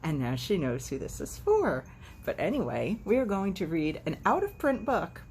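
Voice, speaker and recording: A low-voiced woman chats and reads a storybook aloud in a very lively, swooping way. She drops in dry, irreverent asides as she goes. Faint to moderate noise sits behind her. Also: moderate pace. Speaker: host reading a children's book aloud. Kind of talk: casual vlog chat and animated storybook read-aloud.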